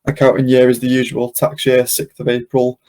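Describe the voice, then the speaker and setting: Male webinar host, mid-pitched and relaxed in tone, explaining software steps in an informal, unscripted way. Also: a little fast. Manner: casual, step-by-step explaining.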